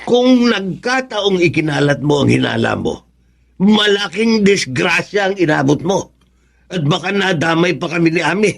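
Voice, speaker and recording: A man's voice: high voice, animated, moderate pace, creaky, full character voice. An actor playing an admonishing middle-aged husband and father in a Tagalog radio drama. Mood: gently admonishing.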